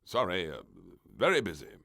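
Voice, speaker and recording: A man voicing a stern but soft-hearted naval admiral in a children's storybook, reluctant, hesitant and emphatic. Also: deep voice, huge pitch swings, moderate pace, exaggerated voice. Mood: nervous, evasive.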